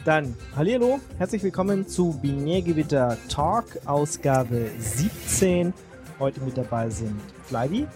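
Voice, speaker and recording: A man's voice: a little high, animated, unhurried, over loud music. A man hosting a casual podcast, chatty and upbeat as he leads the talk. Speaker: podcast host. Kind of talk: casual chat.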